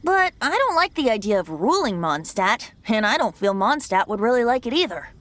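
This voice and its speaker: A woman's voice: fairly high pitch, big pitch swings, a little fast, fairly bright tone, no room sound. A woman voicing a youthful, boyish male bard god in a video game, playful, airy and theatrical. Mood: playful, explanatory.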